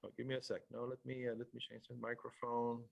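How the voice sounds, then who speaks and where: A man's voice: mid-range voice, monotone, fast, creaky, no room sound. A man from Quebec with a French Canadian accent, giving a webinar sales presentation on a headset, hesitant with many fillers. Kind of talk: casual presentation.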